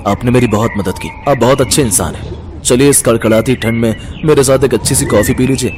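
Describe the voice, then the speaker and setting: A man's voice: medium pitch, fairly quick, background noise, lightly acted. A man playing a grateful, polite, kindly wealthy businessman in a cartoon story.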